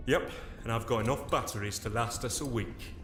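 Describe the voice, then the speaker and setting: A man acts a practical technician in an audio drama, mid-pitched and dryly matter-of-fact. The sound is echoey, with clear music behind. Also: fairly quick, a bit bright, close to an everyday voice. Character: dry, practical male camera and recording technician.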